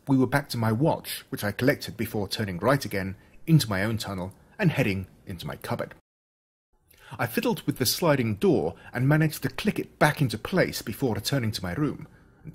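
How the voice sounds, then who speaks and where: Husky Australian man narrating with dramatic swings. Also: lowish voice. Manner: dramatic, suspenseful delivery with slowed emphatic words. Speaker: audiobook narrator. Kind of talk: scripted first-person story narration.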